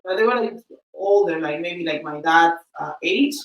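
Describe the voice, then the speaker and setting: A woman's voice: lowish voice, expressive, normal speed, roomy sound, far from the mic, poor audio. A woman whose first language is Spanish, telling a story on a podcast in a chatty way, acting out the quoted exchanges. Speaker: podcast host.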